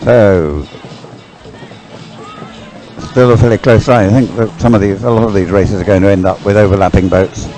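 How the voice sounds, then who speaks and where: A man's voice: lowish voice, moderate pace, pauses often, warm tone, faint music behind, poor audio. An older man giving unscripted live race commentary in a hesitant, musing, explanatory way.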